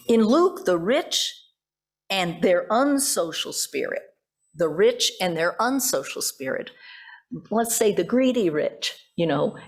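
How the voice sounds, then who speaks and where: A woman preaches with a husky, slightly rough voice at a medium pitch. She explains things reflectively, reading from notes and stepping aside to comment. Rhetorical questions are put to the listeners as part of the sermon. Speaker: pastor preaching. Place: church service.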